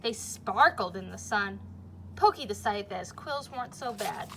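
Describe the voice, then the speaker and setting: A woman's voice: medium pitch, lively intonation, normal speed, vocal fry, a bit bright, slight background noise. A woman reading a children's storybook aloud like a teacher, with simple explanations and questions for young listeners.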